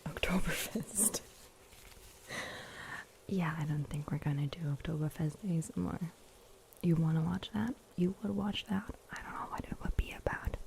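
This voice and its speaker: A woman streaming ASMR, chatting casually to viewers in a soft, breathy whisper close to a sensitive microphone. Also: low-pitched, fairly even intonation, moderate pace, a little hiss or hum, rough recording.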